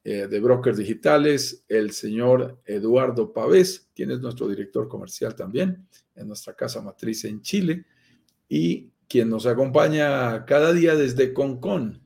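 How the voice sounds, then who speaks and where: A Colombian man hosting a live stream, pitching property investment in an upbeat sales manner with frequent fillers. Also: unhurried, gravelly, darkish tone. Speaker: host, sales director of a property firm.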